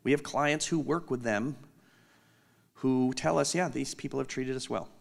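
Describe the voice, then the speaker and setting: Guy with a loud voice riffing through a keynote on stage, jokes at his own expense, mid pitch. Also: moderate pace, vocal fry. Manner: candid, self-deprecating, joking asides. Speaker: company executive giving a keynote. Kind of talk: unscripted conference keynote. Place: conference hall, on stage.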